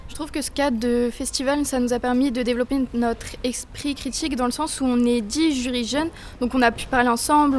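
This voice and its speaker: Teenage female juror, articulate, light high voice. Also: a little mellow, slight background noise. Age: teens. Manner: articulate and reflective. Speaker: student juror.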